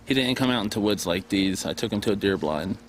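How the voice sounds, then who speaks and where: A man's voice: lowish voice, expressive, quick pace, noisy background. Casual, plain-spoken interviewee, man. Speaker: interviewee, former partner.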